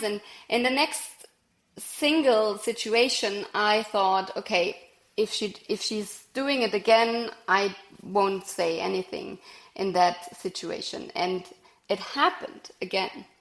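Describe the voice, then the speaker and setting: A woman's voice: medium pitch, slow pace, a bit bright. German-accented woman in a roomy space. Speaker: podcast guest, surgeon. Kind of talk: conversational interview answers.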